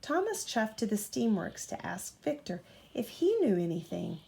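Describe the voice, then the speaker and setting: A woman reading a children's bedtime story aloud as a mother would, with expressive delivery. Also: mid-range voice, moderate pace, creaky. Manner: expressive, acts out character dialogue.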